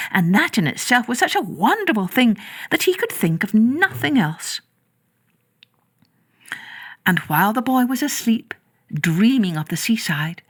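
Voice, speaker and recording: A woman narrating a children's story in a measured, expressive way, drawing out some words. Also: mid-range voice. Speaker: storyteller, podcast host.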